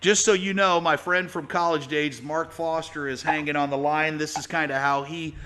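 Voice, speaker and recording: A man's voice: on the high side, steady tone, moderate pace, bright, forceful tone, smooth. A man hosting his own radio show, chatting with a guest in a folksy way, full of fillers and restarts. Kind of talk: casual talk-radio interview.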